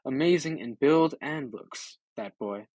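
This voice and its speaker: A man's voice: mid-range voice, lively intonation, measured pace, darkish tone, no room sound. A man voicing a loyal old swineherd who grieves for his master, in an audiobook of an epic poem. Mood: weary, mournful.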